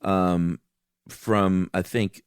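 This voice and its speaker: A man with a low, smooth voice chats as a podcast co-host. His manner is informal and laid-back, and the recording is very dry. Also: steady tone, drawn-out words, no room sound. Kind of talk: casual chat.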